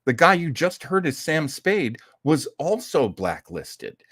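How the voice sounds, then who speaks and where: A man's voice: fairly high pitch, very animated, slow, fairly bright tone, breathy edge, no echo, studio quality. A man hosting an old-time radio program, giving casual commentary in a chatty, folksy, opinionated way.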